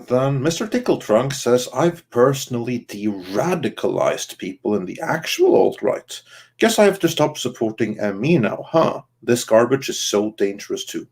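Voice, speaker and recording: Husky low-voiced man hosting a livestream. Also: darkish tone. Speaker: podcast host. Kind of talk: reading out viewer comments on a livestream.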